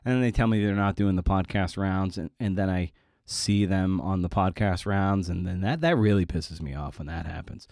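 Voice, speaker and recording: A man's voice: low voice, moderate pace, a little mellow, dry recording, studio quality. A middle-aged man hosting a podcast, talking casually and confessionally in a self-deprecating, rambling, sweary way. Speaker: podcast host.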